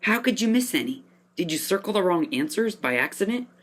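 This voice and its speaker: A woman's voice: mid-range voice, big pitch swings, fairly quick. A woman playing a curious, clever, polite young boy in a family audio drama, earnest and inquisitive. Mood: surprised, curious.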